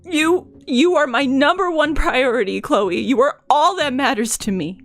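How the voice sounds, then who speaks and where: A woman playing a desperate, devoted young woman in a dramatic fan scene, pleading with drawn-out, emotional words. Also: sits high, a bit bright, soft background music, pitched above the performer's own voice. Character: desperate, devoted young woman refusing to lose her friend.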